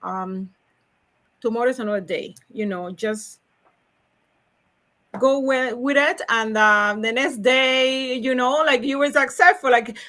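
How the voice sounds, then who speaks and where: Woman, Cuban Spanish accent, Spanish native speaker, highish bright voice, energetic interview guest with frequent laughs. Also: unhurried, long pauses. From Cuba. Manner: energetic, upbeat, laughs often, repeats words. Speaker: logistics salesperson, guest. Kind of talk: podcast interview.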